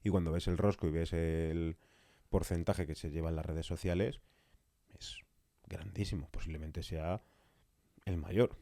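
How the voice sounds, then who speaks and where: A man's voice: low voice, a little slow, long pauses, mellow, no room sound. A man with an accent from Spain talking casually and emphatically in a podcast conversation, repeating phrases for stress. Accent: Spanish (Spain). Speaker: podcast interviewer.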